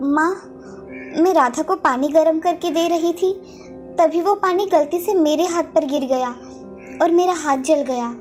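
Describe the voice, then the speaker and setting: A woman's voice: high voice, unhurried, a little mellow. A woman voicing a meek, hurt young stepsister girl in a submissive, pleading way for a cartoon moral story. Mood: meek, gentle. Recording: background music under the voice.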